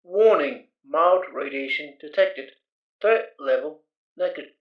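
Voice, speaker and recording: A man's voice: a little high, unhurried, natural voice. A man playing an impassive computer that issues warnings and objectives in an audio drama, clipped and formal. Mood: impassive, official. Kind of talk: amateur audio drama acting. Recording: echoey, slightly dull audio.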